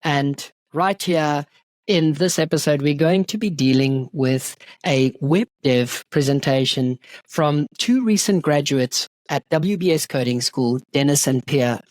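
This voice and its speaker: A host opens the episode with an upbeat welcome to the guests. The voice sits at a middle pitch with a dry, close sound. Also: unhurried, no echo. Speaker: interviewer host. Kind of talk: scripted show introduction.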